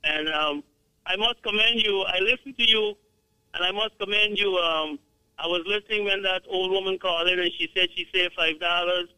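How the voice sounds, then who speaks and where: A man's voice: high voice, fairly even intonation, normal speed, pushed and bright, clean tone, no echo, poor audio. A man calling in to a radio show, talking hesitantly with stammers and fillers. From Guyana. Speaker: caller. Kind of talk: casual on-air testimonial.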